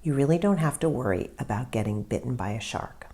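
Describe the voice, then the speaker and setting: A woman author reading a story aloud in an expressive, deliberate narrating style with emphatic stresses. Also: extremely low pitch.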